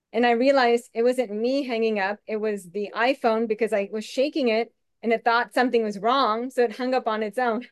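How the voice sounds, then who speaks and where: Soft-voiced woman sharing on a video call. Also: medium pitch, clear, resonant tone, dry recording, clean studio sound. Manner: soft, shaky voice with frequent restarts. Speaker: webinar participant. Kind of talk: candid conversational sharing.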